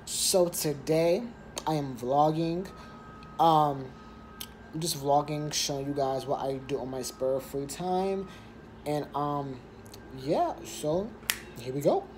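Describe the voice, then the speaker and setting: A man's voice: a little high, slow pace, darkish tone, a little hiss or hum. A young man chatting casually for his vlog in a laid-back, rambling way, filmed on a phone at arm's length. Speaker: vlogger. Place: bedroom, car and outdoors. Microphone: phone held at arm's length.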